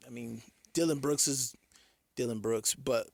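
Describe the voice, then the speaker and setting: Man, sports co-host, casual chat, creaky gravelly voice, dry studio recording. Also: medium pitch, unhurried, a little mellow, dry recording. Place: radio studio.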